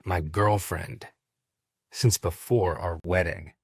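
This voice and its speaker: An actor in an audio drama playing a cold, cruel, wealthy husband with a curt, biting, commanding manner. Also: male voice, sits low, steady tone, slow pace, breathy edge, no echo, clean studio sound, full character voice. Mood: cold, detached.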